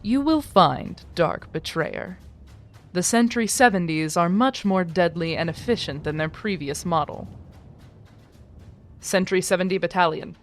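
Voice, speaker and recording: Woman acting a cold, slow cyborg commander over music. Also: on the low side. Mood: cold, commanding. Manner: formal, clipped, robotic pronouncements. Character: cold female cyborg corporate commander, ruthless and menacing. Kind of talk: audio drama villain acting.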